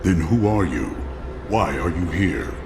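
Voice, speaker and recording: A man's voice: low-pitched, animated, unhurried, noisy background. A man voicing a stern, resolute hunter in a video game. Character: stern male hunter on a quest.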